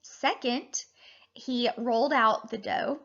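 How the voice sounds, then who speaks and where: A woman in her thirties, a teacher reading and talking to children in an animated, deliberate way. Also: fairly high pitch, muffled recording. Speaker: teacher reading a picture book aloud to children. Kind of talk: expressive children's read-aloud and lesson.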